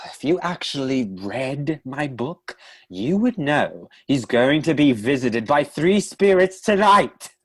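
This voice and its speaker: A man's voice: medium pitch, sing-song, takes it slowly, a bit bright, no echo, heavily acted. A man voicing an exasperated male author who narrates his own story in a radio play. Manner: exasperated, sighing.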